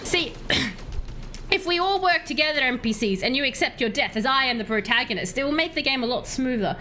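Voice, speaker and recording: A woman streaming a game live, chatty and excitable, answering her chat, her voice slightly hoarse. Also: high voice, brisk, slight background noise. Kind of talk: live gameplay commentary.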